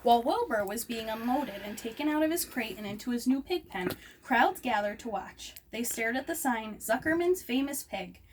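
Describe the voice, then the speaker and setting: Higher-voiced woman reading aloud, distant and noisy. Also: animated, normal speed. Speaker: storyteller reading a children's book aloud. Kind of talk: expressive read-aloud narration. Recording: distant microphone, noisy room ambience.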